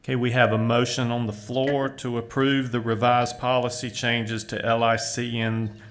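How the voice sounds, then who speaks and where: A man's voice: medium pitch, flat delivery, normal speed, fairly bright tone. A man chairing a formal meeting, speaking in a businesslike, procedural way.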